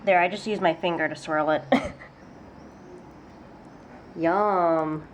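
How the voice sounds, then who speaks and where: A young woman talks in a casual, upbeat way, her voice a little stuffy from congestion. She sits slightly low in pitch and laughs easily between sentences. Her camera mic picks her up in a small apartment kitchen with a faint hum behind her. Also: steady tone, takes it slowly. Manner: chatty, upbeat, laughs often. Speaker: vlogger. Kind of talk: casual cooking vlog.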